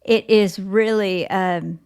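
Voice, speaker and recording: A woman hosting an interview podcast, speaking in a measured, clearly phrased way. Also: mid-range voice, steady tone, darkish tone. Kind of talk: scripted podcast introduction and interview.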